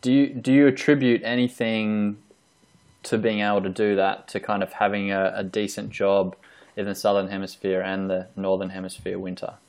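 A man with a low voice that barely rises or falls hosts a casual podcast interview. He asks rambling questions, stopping often to restart a thought or add an "uh". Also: little pitch movement, moderate pace, a little mellow, smooth. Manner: hesitant, filler-heavy questions. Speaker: podcast host. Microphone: online call recording.

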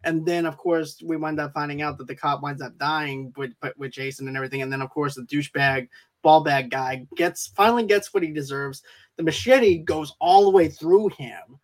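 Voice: medium pitch, fairly even intonation, moderate pace. A co-host talking steadily and explaining points in a casual chat, often adding "and everything".

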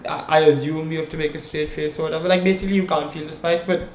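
A man's voice: fairly high pitch, quick pace. A young man, a podcast co-host, telling a long story in casual chat and checking in with his listeners as he goes. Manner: animated storyteller, checks in with "okay? right?". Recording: echoey room, faint background hum.

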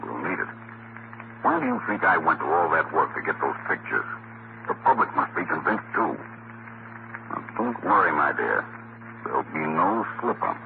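An actor playing a smooth, scheming villain with a soothing, deliberate, sinister manner in a 1940s radio drama. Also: male voice, very deep voice, very animated, heavily acted. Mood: soothing, confident. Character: smooth, scheming male villain, coolly confident and menacing. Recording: muffled, noisy 1940s radio recording.

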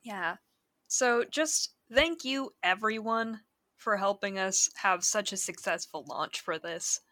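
A woman co-hosting a podcast in casual chat, measured and dryly teasing. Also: medium pitch, sharp tone, no room sound, studio quality. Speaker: podcast co-host.

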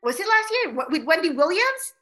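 Bubbly high-voiced woman host. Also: sing-song, fairly quick. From Philippines. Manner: bubbly, chatty, many fillers. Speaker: podcast host. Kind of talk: casual interview.

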